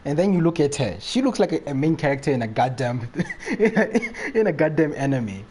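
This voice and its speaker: A man's voice: fairly high pitch, very animated, fairly quick, darkish tone. Casual male game streamer, faint noise. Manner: jokey, slangy, often chuckling. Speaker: game livestreamer. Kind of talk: casual livestream chat and game reaction.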